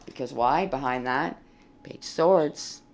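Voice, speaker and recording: Tarot reader talking straight, gravelly fry in the voice, dry froggy throat, cusses a bit. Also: medium pitch, measured pace, slight background noise. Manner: blunt and plain-spoken, swears freely. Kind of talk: casual tarot reading to camera.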